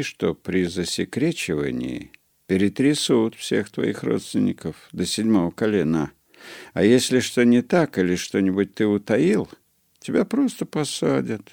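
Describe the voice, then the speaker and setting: A man voicing a worldly legless war veteran who gives dry, conspiratorial warnings, in a slow, low, gravelly voice. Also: a little mellow, no room sound, heavily acted.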